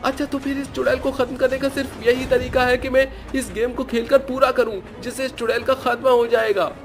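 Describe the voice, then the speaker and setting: A man's voice: extremely high pitch, little pitch movement, brisk, soft background music, voice pushed up. A man voicing a frightened but determined young male hero in a cartoon horror story, speaking urgently.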